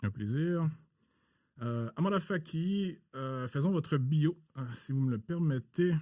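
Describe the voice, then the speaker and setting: A man hosting a podcast interview in a formal, halting way with many pauses. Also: fairly high pitch, animated, a little mellow, no room sound, studio quality.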